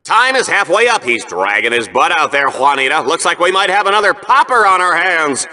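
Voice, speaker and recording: Smug, bloodthirsty game-show arena announcer: high-pitched, very forceful, theatrical and vain. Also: male voice, very animated, a little fast, exaggerated voice. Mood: amused, gloating. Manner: theatrical, hammy, exaggerated announcer delivery. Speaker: arena sports commentator. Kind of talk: bombastic game-show sports commentary.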